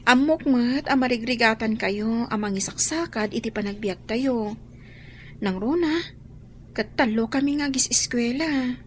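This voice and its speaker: A woman's voice: a little high, a little slow, close to an everyday voice. A woman acting an earnest, plaintive young student daughter, worried and self-sacrificing, in a radio drama. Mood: earnest pleading. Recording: faint background noise.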